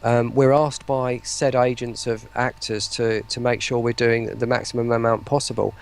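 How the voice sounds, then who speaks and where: A man speaks as a guest in a casual interview. His voice has a gravelly creak, and he talks haltingly, often doubling back on words as he explains things. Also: medium pitch, little pitch movement. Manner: hesitant, repeats words mid-sentence. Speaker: interview guest, voice pack producer.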